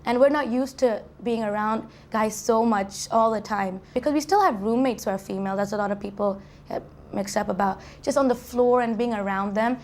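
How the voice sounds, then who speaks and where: Young woman from saudi arabia, fast chatty interview answers, kinda high voice. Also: a little mellow. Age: young adult. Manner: chatty, many restarts and "like" fillers. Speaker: college student guest. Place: university dorm.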